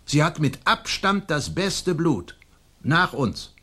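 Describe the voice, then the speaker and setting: Proud, husky old nobleman father. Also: fairly high pitch, animated, takes it slowly, sharp tone, full character voice. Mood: indignant. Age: older. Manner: imperious, scolding, emphatic. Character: proud, domineering old Spanish colonial nobleman, stern father. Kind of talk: German radio play acting.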